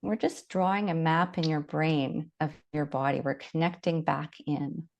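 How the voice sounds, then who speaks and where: A woman leading a guided body scan meditation, giving gentle, unhurried instructions with long pauses. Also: low-pitched, fairly even intonation, vocal fry.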